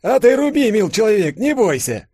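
A fearless old manservant begs the crowd, as a man puts him on, his voice strained high and loud. He sounds impassioned and ready to die. The recording is dry and close. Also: very animated, normal speed, husky, no echo, heavily acted. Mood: defiant. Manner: pleading, impassioned. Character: old male servant, defiant and fearless.

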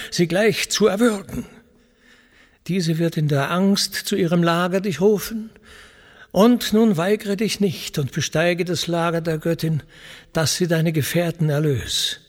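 A man voicing a protective, commanding messenger god who counsels a mortal in declamatory, deliberate epic verse for radio. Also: fairly high pitch.

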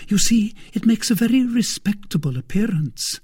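Man voicing an anxious, high-pitched young Frenchwoman. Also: lively intonation, normal speed, a little mellow, husky, no echo, studio quality. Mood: pleased, gracious. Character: anxious, earnest young married Frenchwoman in love.

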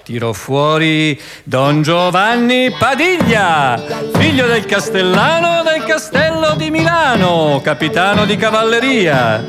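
A man's voice: sits high, very animated, slow pace. A man narrating a historical account as radio theatre, in a theatrical, emphatic storytelling way. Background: music.

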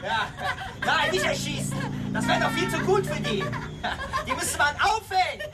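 An actress in a radio drama playing an excitable, gloating listener who eggs the speaker on. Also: female voice, extremely high pitch, a little slow, far from the mic, over a noisy crowd, exaggerated voice. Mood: gleefully cruel. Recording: off-mic with loud music behind.

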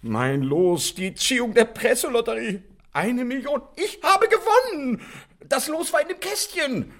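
An indignant middle-aged father in a radio play. His husky voice swoops wildly up and down, excitable and insistent, as if he has been cheated of what is his. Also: very high-pitched, a little slow, exaggerated voice. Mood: agitated, elated. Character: indignant middle-aged French father, robbed lottery winner. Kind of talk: radio drama acting.

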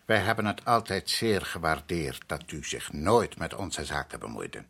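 An actor playing a proud island head priest in a radio drama, courteous and measured yet stubborn, with a husky voice. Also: male voice, low voice, big pitch swings, pushed and bright, heavily acted. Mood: placating. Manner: measured, courteous, evasive, insistent.

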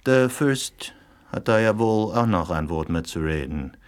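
An actor in a German radio drama playing a threatening, suspicious foreign correspondent who needles and probes sardonically. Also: male voice, lowish voice, expressive, unhurried. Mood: probing, sardonic.

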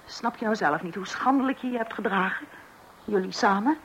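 A woman's voice: mid-range voice, animated, brisk, fairly bright tone, dull audio, a little hiss or hum. An actress playing a stern, exasperated mother scolding her children.

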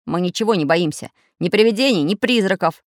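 A woman's voice: on the low side, lively intonation, a little fast, no echo, clean studio sound. A woman voicing a brave, indignant little male iron handyman in a children's story. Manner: indignant, emphatic.

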